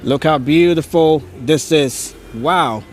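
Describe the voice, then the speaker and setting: A man's voice: a little high, slow, faint music behind. A man hosting a live stream as he walks a street outdoors, speaking with awe, chuckling often and stammering now and then. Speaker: live-stream host touring holiday lights. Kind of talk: casual live-stream narration. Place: outdoors on a neighborhood street at night. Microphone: phone on a handheld gimbal.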